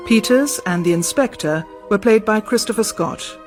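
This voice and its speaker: Medium-pitched woman announcer over faint music. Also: expressive, normal speed, darkish tone. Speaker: closing announcer. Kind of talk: scripted radio credits.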